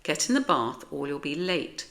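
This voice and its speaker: A woman's voice: low-pitched, big pitch swings, moderate pace, vocal fry, a bit bright, heavily acted. A British woman voicing a cheerful mother cat, kindly but firm, chivvying and reassuring in a storybook.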